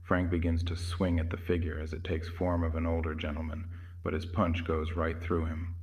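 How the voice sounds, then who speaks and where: Very deep, even male story narrator. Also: moderate pace, low-quality recording. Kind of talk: scripted horror story narration.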